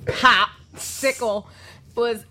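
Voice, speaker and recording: A woman chatting enthusiastically in a casual group conversation, exclamatory and quick to agree. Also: a little high, fairly even intonation, crowd noise.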